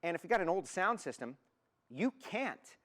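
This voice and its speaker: A man's voice: high voice, sing-song, moderate pace, a bit bright. A man hosting an automotive TV show, presenting and interviewing in an upbeat, enthusiastic way. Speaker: TV show host.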